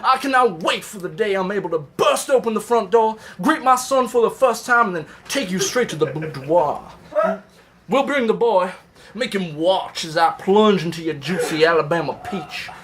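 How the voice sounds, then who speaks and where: A man's voice: high-pitched, lively intonation, moderate pace, noisy background. A man playing a lewd, boastful Confederate soldier in a comic reading of letters home, with a folksy, florid manner of speech. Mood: giddy boastful pride. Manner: folksy, old-timey, florid. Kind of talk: comedic live reading of love letters.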